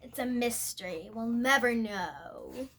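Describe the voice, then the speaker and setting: A young girl reading a picture book aloud expressively, with halting moments and chatty asides to her viewers. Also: a little high, lively intonation, fairly bright tone, slight background noise. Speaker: storybook reader.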